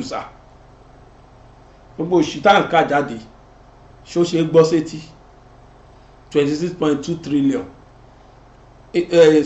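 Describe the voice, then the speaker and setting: A middle-aged Nigerian man hosting a political commentary show, talking animatedly and gesturing as he sits in a studio. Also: on the high side. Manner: animated, switching between Yoruba and English, gesturing. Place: indoor studio set. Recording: roomy, dull lo-fi sound.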